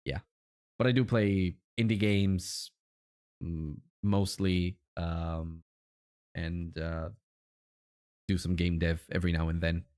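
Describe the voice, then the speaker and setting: Male game streamer, Italian accent, lower register, casual and chatty, feels his way through sentences. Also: a little mellow. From Italy. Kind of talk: casual livestream chat.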